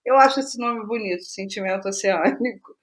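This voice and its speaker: A Brazilian woman speaking casually as an interview guest, telling stories in an animated way and thinking aloud with rhetorical asides. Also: medium pitch, normal speed. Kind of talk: casual conversational interview about writing process.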